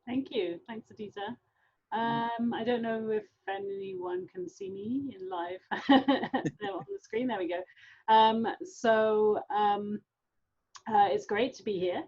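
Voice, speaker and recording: A woman's voice: medium pitch, slow pace, a little mellow. Enthusiastic English woman, slightly dull recording. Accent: English, from London. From London, England. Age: middle-aged. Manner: informal, enthusiastic. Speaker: guest scientist, university professor. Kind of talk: informal public science talk with slides. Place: online video call.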